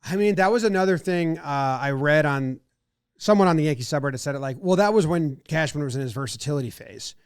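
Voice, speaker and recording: A man's voice: fairly high pitch, fast, clear, resonant tone, no room sound, studio quality. A man co-hosting a podcast, chatting casually about sports, rambling and second-guessing himself. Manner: rambling, self-doubting, acts out what he thought. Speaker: podcast co-host.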